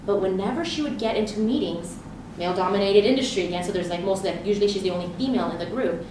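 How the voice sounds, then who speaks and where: A woman speaks to a live audience as a leadership coach, telling stories and voicing her own thoughts with energy. Her voice comes from a distance and rings through a very echoey room with steady noise in the background. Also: mid-range voice, rapid-fire. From grew up in Southern California. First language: Romanian. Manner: lively storytelling with quoted inner thoughts. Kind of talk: live conference presentation.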